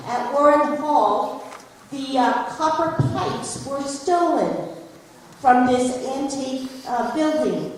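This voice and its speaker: A woman giving public testimony at a hearing, speaking haltingly and reading out bill numbers. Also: high voice, slight background noise. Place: large hall, indoors. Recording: echoey hall from far off.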